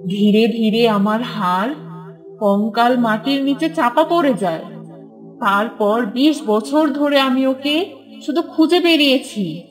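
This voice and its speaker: A woman's voice: on the high side, takes it slowly, faint music behind, rough recording. A woman voicing the bitter, vengeful ghost of a murdered young woman in a horror cartoon, in a dramatic manner. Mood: bitter, brooding.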